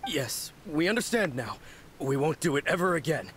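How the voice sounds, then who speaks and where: A man's voice: on the high side, big pitch swings, normal speed, fairly bright tone, a little hiss or hum. A man voicing a timid young swordsman in a video game, shaken and self-deprecating after being possessed.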